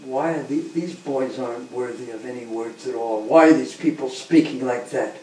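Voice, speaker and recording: A man's voice: medium pitch, normal speed, warm tone, natural voice. A man voicing a proud, angry demonic king who speaks with indignant scorn. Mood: scornful. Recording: roomy, distant, faint background hum.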